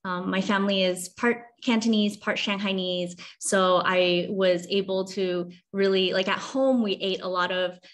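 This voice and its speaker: A woman's voice: mid-range voice, steady tone, measured pace, hardly any pauses. A woman in her thirties from Hong Kong, explaining conversationally as a guest author on a video call. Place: video call from a room at home.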